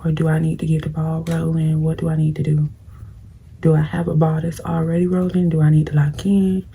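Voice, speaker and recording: A woman's voice: sits low, flat delivery, brisk, vocal fry, warm tone. A young woman with a scratchy voice, chatting bluntly to viewers while doing her makeup. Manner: blunt, preachy, talks straight to viewers. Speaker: vlogger. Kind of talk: casual get-ready-with-me advice chat.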